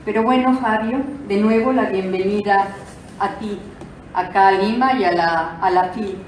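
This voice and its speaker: A woman moderating a literary event, introducing a guest in measured, deliberate phrases. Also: medium pitch. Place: auditorium at a book fair. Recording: distant echoey auditorium, faint noise.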